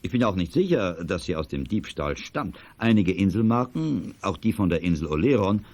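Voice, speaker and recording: An actor playing a courteous, middle-aged auction house director in a children's audio drama, speaking in a formal, measured way. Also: male voice, low voice, big pitch swings, a little mellow. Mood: calm, businesslike. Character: courteous, businesslike male auction house director, discreet and earnest.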